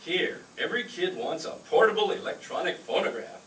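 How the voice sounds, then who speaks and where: A shifty fake Santa, acted by a man in a comic radio play, gruff and impatient, with a bright, forceful voice. It is recorded from a distance in an echoey hall. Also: sits high, sing-song, fairly quick. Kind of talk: comic radio skit acting. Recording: echoey, distant stage recording, faint noise.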